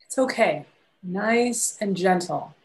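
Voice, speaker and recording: A woman's voice: mid-range voice, animated, slow, low-quality recording. A middle-aged woman playing a no-nonsense horse handler in a table read. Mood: gentle.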